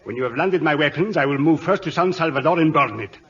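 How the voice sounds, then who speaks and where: A self-deified Latin American despot holds forth in an old radio drama. His voice is raised in pitch and husky, with a haughty, threatening delivery. The broadcast sounds dull and aged. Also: animated, quick pace, a bit bright, full character voice. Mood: smug menace. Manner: imperious, haughty, menacing. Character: grandiose, tyrannical Latin American warlord who claims godhood.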